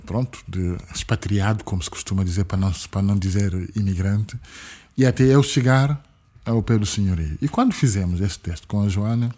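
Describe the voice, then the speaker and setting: A man from Senegal speaks with a French-tinged accent and a mellow, warm tone. He talks earnestly as an interview guest, repeating words to drive a point home. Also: on the low side. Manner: emphatic, repeats words for stress. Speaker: guest, activist. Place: podcast studio.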